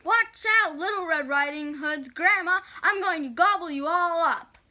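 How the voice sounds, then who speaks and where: A woman's voice: very high voice, measured pace, pushed and bright, full character voice. A woman voicing a sly, hungry storybook wolf with a coaxing, theatrical manner. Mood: menacing.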